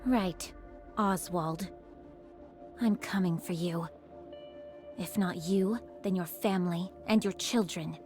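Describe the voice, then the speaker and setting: An actress voicing a stern, fiercely protective squad fighter in a game cutscene, terse and commanding. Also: female voice, on the low side, takes it slowly, pauses often. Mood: menacing. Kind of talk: scripted game cutscene acting. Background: background music.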